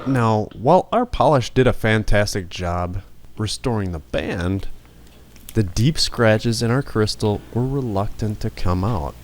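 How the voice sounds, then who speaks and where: Low-voiced man narrating, noisy background. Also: expressive, a little slow. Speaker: narrator of a restoration tutorial. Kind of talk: scripted instructional narration.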